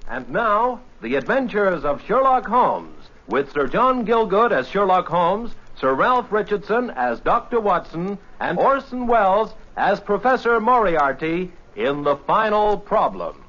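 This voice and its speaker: A man's voice: high-pitched, big pitch swings, unhurried, hardly any pauses, fairly bright tone. A man making a formal, declamatory announcement as host of a 1950s radio drama. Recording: muffled old radio recording. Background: music.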